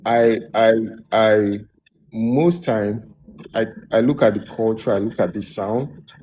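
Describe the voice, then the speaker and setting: A man's voice: lowish voice, takes it slowly, slight background noise, rough recording. A man, a music producer, telling his story in a casual interview with a lot of restarts. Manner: relaxed, loose storytelling with many restarts.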